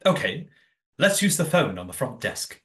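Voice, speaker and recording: Audio play role, performed by an actor: sweetly naive, genteel old hotel keeper, excitable, very animated delivery. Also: medium pitch, normal speed, noisy background, natural voice. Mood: giddy. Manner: genteel, old-fashioned exclamations.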